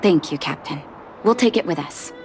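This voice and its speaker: An actress playing a composed, determined woman medic and rebel leader in a video game, speaking in a measured, earnest way. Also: lowish voice, animated, over music. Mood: composed, grateful. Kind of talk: scripted video game voice acting.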